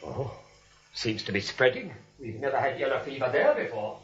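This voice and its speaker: A man's voice: big pitch swings, moderate pace, a bit bright. An actor playing a cheerful deluded man who thinks he is President, pompous and formal, in 1940s radio comedy style. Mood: solemnly official. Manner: pompous, booming military formality. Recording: dull old radio recording.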